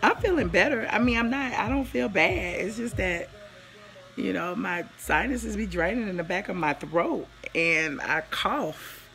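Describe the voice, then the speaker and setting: A hoarse woman in her forties, chatting casually on a live stream from her living room, rambling and greeting viewers by name, with a phone held at arm's length. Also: medium pitch, expressive, measured pace. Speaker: livestream host. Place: living room at home, indoors.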